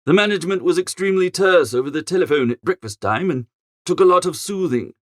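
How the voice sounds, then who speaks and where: A man reading a storybook in character as a chatty, flustered young gentleman, with a posh, exclamatory, grumbling manner. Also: high voice, lively intonation, fast talker, no room sound, studio quality. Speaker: audiobook narrator. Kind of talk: animated first-person storybook narration in character.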